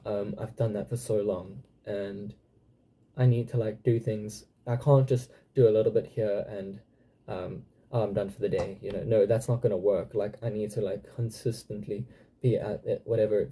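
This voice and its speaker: A man's voice: lowish voice, dark tone, husky. A man in his twenties with a South African accent, talking casually to camera in a hesitant way with many fillers and restarts, sometimes swearing. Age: 20s. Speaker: channel host. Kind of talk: casual personal vlog.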